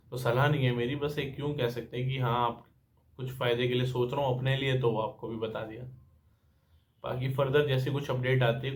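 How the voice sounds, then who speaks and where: A young man giving informal updates and advice as a channel host, explaining point by point and mixing in English words. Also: medium pitch. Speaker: exam-news channel host.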